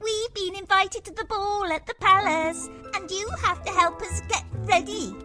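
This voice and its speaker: A woman's voice: very high-pitched, steady tone, moderate pace, bright, forceful tone. A woman acting a vain, spiteful, spoiled young stepsister in a children's storybook, whiny and demanding. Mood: smug. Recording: music behind the voice.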